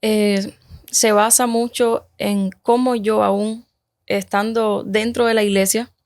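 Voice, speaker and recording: A woman from Cuba in her thirties, telling her personal story as a guest in a casual conversation, halting and hesitant with many restarts. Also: medium pitch, flat delivery, a little mellow.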